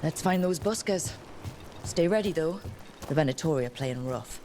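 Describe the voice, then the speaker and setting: A woman's voice: deep voice, lively intonation, moderate pace, mellow, a little hiss or hum. A woman voicing a cool, observant detective in a fantasy video game, thinking aloud in a terse, matter-of-fact way. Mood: focused, deducing.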